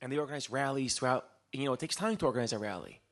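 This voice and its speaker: A man from Israel giving a live lecture as a storyteller, posing rhetorical questions and pausing for dramatic emphasis. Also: medium pitch. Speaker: public speaker, lecturer.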